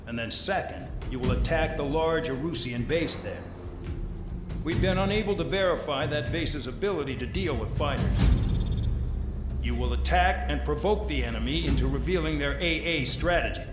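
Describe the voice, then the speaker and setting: An actor voices a cold male military officer delivering a game mission briefing. The voice sits fairly high for a man and is clipped and stern. It echoes, with clear music underneath. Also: expressive, normal speed, natural voice. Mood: stern, businesslike.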